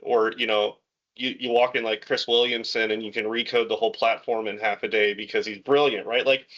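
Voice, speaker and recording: A man's voice: lowish voice, little pitch movement, fairly quick, a bit bright. A man speaking in a casual video call chat, encouraging and conversational, who often repeats words. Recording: muffled call audio.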